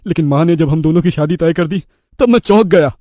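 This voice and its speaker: A man's voice: on the high side, a little fast, dark tone, no echo, clean studio sound, close to an everyday voice. A man voicing an irritable, blunt married elder brother who complains in a family story. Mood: frank.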